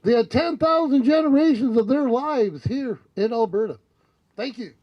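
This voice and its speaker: A man's voice: extremely high pitch, expressive, moderate pace, muffled recording. An older man, a council candidate, telling a rambling, earnest spiritual story into a handheld mic in an outdoor tent. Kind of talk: candidate forum speech. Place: outdoor tent at a community forum. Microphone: handheld mic on a stand.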